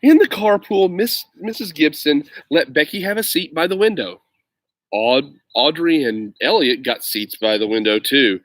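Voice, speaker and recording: A man's voice: on the high side, normal speed, a bit bright, no echo. A man with an informal, chatty, easygoing way of talking, hosting a children's story time and reading aloud expressively, recorded outdoors on a laptop. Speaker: reader of children's stories, teacher-like host. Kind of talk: casual chat and expressive picture book read-aloud. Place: outdoors in a grassy yard on a windy day. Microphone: laptop at about arm's length.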